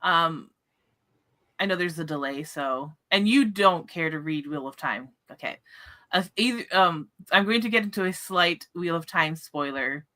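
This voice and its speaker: Woman, lower-pitched, very bright voice, casual book chat host, animated delivery. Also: normal speed. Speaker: livestream book discussion host.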